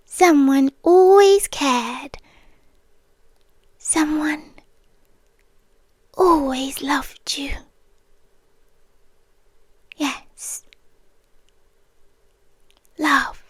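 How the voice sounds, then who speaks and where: A British woman voicing a possessive, devoted guardian angel in a soft, breathy, close-mic ASMR roleplay that often drops into a whisper. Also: high-pitched, dry recording. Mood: tender. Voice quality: breathy, intimate, drifting into whispers. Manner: slow, tender, quietly obsessive. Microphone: close mic, dry sound.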